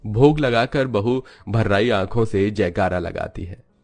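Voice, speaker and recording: Guy narrating a moral story from a script, fairly low voice. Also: unhurried, a little mellow, soft background music.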